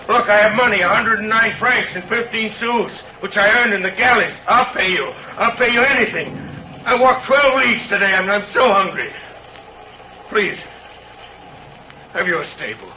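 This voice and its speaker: Sharp, forceful, desperate convict. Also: male voice, high-pitched, exaggerated voice. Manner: intense, halting, emphatic, repeating himself. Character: desperate, hungry, bitter released male convict. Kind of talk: 1930s-40s radio drama acting. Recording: dull, noisy old radio recording.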